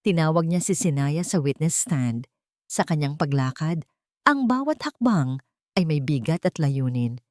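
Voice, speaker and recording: A woman's voice: deep voice, animated, measured pace, darkish tone, no echo, studio quality. A woman with a Filipino accent reading a scripted dramatic story as narrator.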